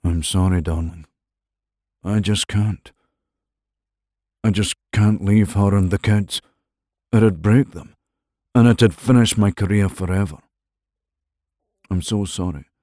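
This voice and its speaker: A cruel middle-aged man, voiced by the narrator, talks down to his lover with smug, sneering contempt. His voice sits low, quiet and dry, close to the microphone. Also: takes it slowly, long pauses, dry recording. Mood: sorry. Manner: sneering, condescending.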